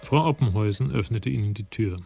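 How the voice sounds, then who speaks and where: Man narrating a radio drama, calm and literary, low gravelly voice, clear music underneath. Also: brisk. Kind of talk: scripted narration.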